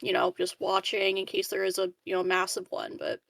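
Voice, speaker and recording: A woman's voice: mid-range voice, completely flat delivery, a little fast, vocal fry, fairly bright tone, no room sound. A woman playing a tabletop roleplaying game, casually improvising an in-character answer.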